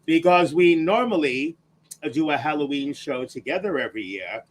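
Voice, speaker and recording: A man with a raspy whiskey voice, co-hosting a drag podcast in a teasing, theatrical chat style. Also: expressive, normal speed, a little hiss or hum, rough recording. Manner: theatrical, teasing, sweeping pitch. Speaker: drag queen podcast co-host. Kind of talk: campy casual chat.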